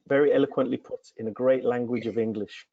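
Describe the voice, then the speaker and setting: A man's voice: mid-range voice, steady tone, fast, slightly rough, dry recording. A man whose first language is Greek hosts a webinar, lavishing praise on his guests. The sound is dry and close, with muffled lo-fi video-call quality. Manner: effusive, heaping praise on guests. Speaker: webinar host. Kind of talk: hosting an online webinar.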